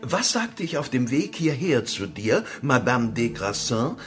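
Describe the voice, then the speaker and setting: A man's voice: mid-range voice, sing-song, measured pace, faint music behind. An actor playing an effusive, bluff old soldier turned businessman in a German radio play. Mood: effusive admiration. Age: older.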